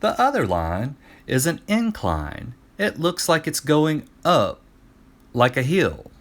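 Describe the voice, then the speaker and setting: A man's voice: fairly high pitch, wildly swooping pitch, takes it slowly, pitched above the performer's own voice, full character voice. A man voicing an eager ancient Greek geometry teacher in a children's storybook, explaining patiently and with emphasis. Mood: explaining eagerly.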